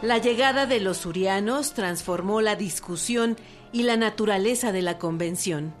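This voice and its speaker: A woman's voice: medium pitch, moderate pace. Woman narrating a historical radio drama. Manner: clear, even storytelling. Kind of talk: scripted narration. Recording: faint music underneath.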